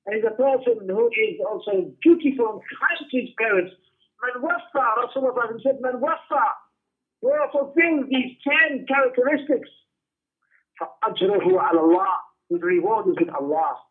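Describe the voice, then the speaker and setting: Tinny phone-line audio, high-pitched male voice, religious class teacher, emphatic delivery, repeated phrases. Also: animated, fairly quick. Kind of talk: Islamic lesson, reading Arabic texts and explaining them in English. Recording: tinny phone-like radio-style lecture audio.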